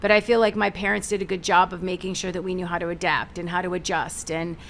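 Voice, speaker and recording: Animated woman telling stories as a guest. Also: on the low side, fast, creaky, a little hiss or hum. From United States. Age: middle-aged. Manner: energetic, animated storyteller. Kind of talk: conversational interview.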